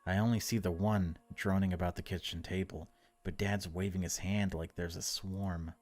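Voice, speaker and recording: A man narrating a horror visual novel in a measured, unhurried way, with casual asides as a gaming video host. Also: low-pitched, fairly even intonation, darkish tone, faint music behind.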